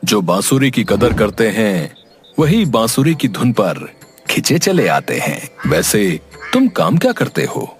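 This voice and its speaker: Guy doing mysterious sadhu, calm, low voice, probing, cartoon story w music. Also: measured pace. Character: calm, mysterious flute-playing male holy man. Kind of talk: cartoon moral story acting.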